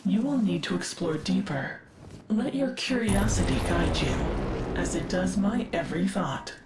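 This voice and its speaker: An actress playing a curious, analytical ship AI in a video game, speaking with measured, precise, formal diction. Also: female voice, on the low side, very animated, very noisy. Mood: intrigued. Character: curious, analytical female ship AI, cultured and wistful. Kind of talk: scripted video game dialogue. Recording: echoing voice with music behind. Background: game music.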